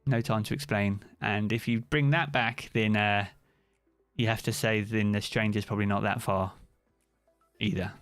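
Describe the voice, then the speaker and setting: A man's voice: lowish voice, moderate pace, clear, resonant tone, no room sound, soft background music. Chatty British man streaming live. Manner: chatty, relaxed, answers chat by name. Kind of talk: casual live-stream chat reading viewer comments.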